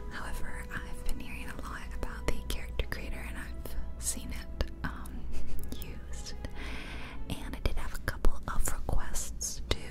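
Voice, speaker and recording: A woman whispering softly and breathily, close to the mic, in casual, gentle ASMR commentary on a game. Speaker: ASMR video host and gamer. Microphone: close ear-to-ear ASMR microphone.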